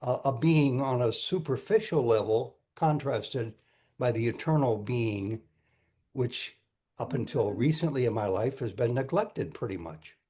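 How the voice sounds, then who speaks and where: A man's voice: mid-range voice, expressive, unhurried, warm tone. A man hosting an online meeting, speaking informally and politely as he asks questions and guides participants.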